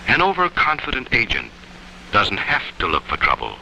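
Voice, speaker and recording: Stern male mentor, creaky, sharp-edged voice, dry sarcasm, 1940s film voice-over. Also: lowish voice, huge pitch swings, a little hiss or hum, full character voice, rough recording. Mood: sardonic warning. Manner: sardonic, deliberate lecturing.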